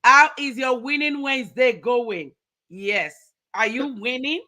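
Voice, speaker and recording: A woman hosting a live motivational talk show, energetic and upbeat, often ending phrases with "right?". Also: sits high, measured pace. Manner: energetic, repeats words, ends phrases with "right?". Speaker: host.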